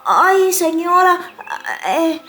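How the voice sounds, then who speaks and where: A very high, bright little boy from a storybook, played by a woman. He is timid and trembling, pleading slowly and hesitantly over faint music. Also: very animated, exaggerated voice. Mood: frightened. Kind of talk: dramatized storybook acting.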